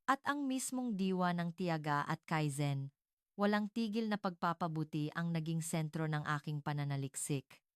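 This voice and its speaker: A woman reading a scripted dramatic narration in a measured, earnest storytelling manner. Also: deep voice, animated, clear, resonant tone, no echo, clean studio sound.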